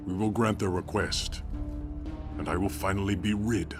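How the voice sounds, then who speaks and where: An actor playing a stern, resolute warlord in a video game, formal and commanding. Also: male voice, low-pitched, steady tone, moderate pace, over music, natural voice. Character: stern, resolute male warlord plotting a coup. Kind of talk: video game dramatic acting.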